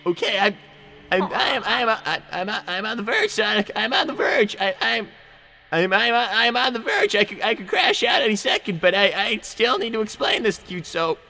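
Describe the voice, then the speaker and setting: A man voicing a high-strung young cartoon fox inventor, stammering and melodramatically exasperated in improvised comedy. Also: big pitch swings, moderate pace, sharp tone, full character voice. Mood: barely calm. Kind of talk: improvised in-character comedy livestream.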